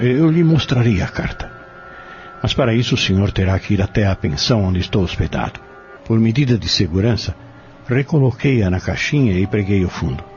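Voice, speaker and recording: A man playing a secretive peddler in a Brazilian audio drama, speaking in a measured, formal, confiding way. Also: low voice, darkish tone. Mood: secretive, wary. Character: secretive male peddler guarding a dead friend's secret. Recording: dull lo-fi audio drama with music.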